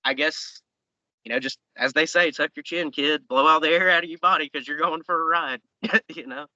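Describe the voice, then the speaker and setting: A man in his late twenties with a drawl talks in a loose, rambling way, full of fillers and restarts. His voice is very bright and forceful. The sound is dry and a little dull, like a podcast mic. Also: a little high, normal speed, dry recording. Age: 20s. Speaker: professional wrestler guest. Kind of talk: casual interview chat.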